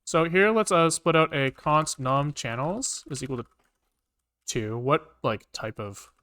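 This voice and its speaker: A man casually live-coding on a stream, thinking aloud in halting, muttered bits as he works. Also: on the high side, pushed and bright. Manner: halting, muttering to himself while working. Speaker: programming livestreamer.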